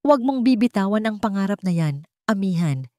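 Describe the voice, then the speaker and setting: A woman voicing a guarded, brooding billionaire man with a calm, measured manner in an audio love story. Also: mid-range voice, no room sound, clean studio sound, lightly acted.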